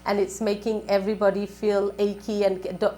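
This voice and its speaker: A woman's voice: mid-range voice, flat delivery, fairly quick, warm tone. A woman working as a spiritual advisor on a live call-in show, giving advice in a repetitive, questioning way.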